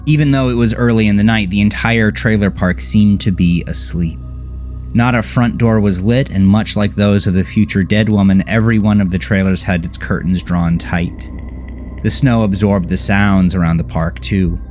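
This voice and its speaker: A man narrating a scripted horror story in a measured, dramatic way, letting phrases trail off low. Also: steady tone, darkish tone, faint music behind. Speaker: audiobook narrator.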